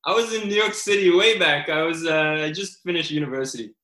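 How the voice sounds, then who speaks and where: A man's voice: fairly high pitch, fairly quick, pushed and bright, echoey room. A male author guest with a slight South African accent, chatting casually with many fillers and restarts. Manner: rambling, many fillers and restarts, laughs easily. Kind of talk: casual interview chat.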